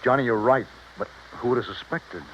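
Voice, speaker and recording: Worried male banker, played by an actor, medium pitch, hesitant and mild, old radio drama, dull slightly noisy sound. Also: a little fast, a bit bright, lightly acted. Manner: hedging, reluctant, mild. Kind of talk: 1950s radio drama acting. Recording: muffled old radio recording, faint noise.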